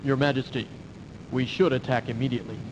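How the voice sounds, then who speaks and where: Royal aide for a game cutscene, male actor: deferential, formal military officer, medium pitch, slight noise on the track. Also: fairly even intonation, fast talker, highs cut off, lightly acted. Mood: respectful. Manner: formal, reporting. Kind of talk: video game cutscene acting. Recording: faintly noisy 1990s game audio.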